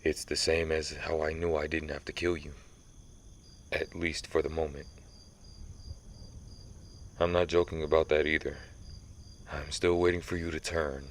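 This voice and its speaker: Casting: a man to play a centuries-old vampire slayer of his own kind. Bottomless low register, flat delivery, solemn dramatic storytelling for ASMR audio drama. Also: a little hiss or hum. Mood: cold, wary. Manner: grave, measured, dramatic storytelling. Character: brooding centuries-old male vampire who hunts vampires. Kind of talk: ASMR roleplay monologue.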